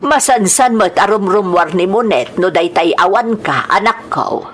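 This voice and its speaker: A woman's voice: mid-range voice, lively intonation, measured pace, a bit bright. Older mother, wary and watchful played by a woman, mid-range voice, Ilocano audio drama. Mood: wary, insinuating.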